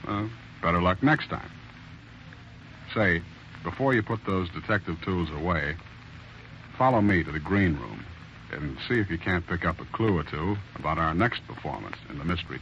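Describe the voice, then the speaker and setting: A male radio host closing a mystery drama and addressing listeners in a genial, showman-like way. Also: low voice. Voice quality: bright, genial, showman-like delivery. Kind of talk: scripted radio hosting. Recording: muffled old radio recording with faint hiss.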